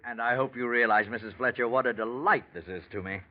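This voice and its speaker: An actor playing a scheming antique dealer in 1940s radio drama, with flattering, hesitant sales patter. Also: male voice, mid-range voice, fast talker, soft background music, close to an everyday voice. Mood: ingratiating. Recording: muffled vintage radio recording.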